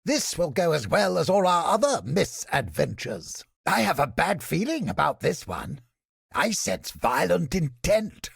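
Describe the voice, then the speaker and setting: Gravelly, theatrical cynic inquisitor. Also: male voice, fairly high pitch, big pitch swings, fairly bright tone, dry recording, studio quality, exaggerated voice. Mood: wry. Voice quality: gravelly, husky, breathy edge. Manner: theatrical, deliberate, sardonic, formal archaic diction. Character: cynical, theatrical male inquisitor scholar, sardonic and smugly godless. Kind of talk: theatrical video game character acting.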